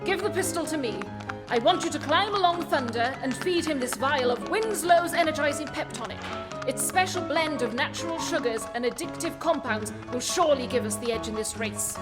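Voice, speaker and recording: Radio comedy detective female actor: imperious, theatrical, high register, music underneath. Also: lively intonation, moderate pace. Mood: confident, persuasive. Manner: theatrical, commanding, declamatory. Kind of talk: comic radio play acting. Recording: long hall reverb, music behind.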